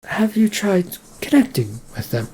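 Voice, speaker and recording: A reader voicing a sensible wife who asks steady, gently probing questions. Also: mid-range voice, measured pace, breathy edge, pitched above the performer's own voice, low-quality recording. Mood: calm. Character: calm, sensible wife on the phone.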